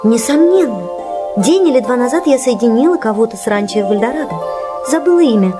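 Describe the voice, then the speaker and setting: A woman's voice: a little high, animated, brisk, loud music behind the voice, natural voice. An actress playing an outspoken, proud young woman in a radio play, pert and chatty. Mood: eager, chatty. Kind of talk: Russian radio play acting.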